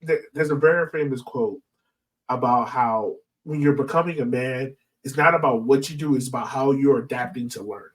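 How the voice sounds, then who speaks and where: A man's voice: medium pitch, moderate pace. A man hosting a podcast in a casual, conversational style, explaining his points and checking in as he goes. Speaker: podcast host. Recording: voice distant from the microphone.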